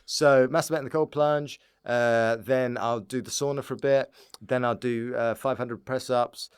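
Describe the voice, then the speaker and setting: A man's voice: medium pitch, fairly even intonation, moderate pace, clear, resonant tone. A man speaking as a comedian guest on a podcast, chatting casually in a rambling, jokey way. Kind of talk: casual comic chat.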